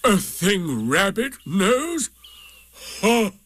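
Storybook donkey, old and male, played by a man: solemn, bitter, dragging delivery with wide swoops of pitch. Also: big pitch swings, fairly bright tone, no echo, heavily acted. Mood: bitter, scornful. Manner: slow, solemn, drawn-out pronouncements.

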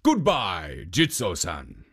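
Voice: low-pitched, wildly swooping pitch, takes it slowly, voice pushed down, full character voice. A storyteller voicing a hairy male ogre in a folk tale, blunt and suspicious. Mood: gruff. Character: hairy man-eating male ogre, gruff.

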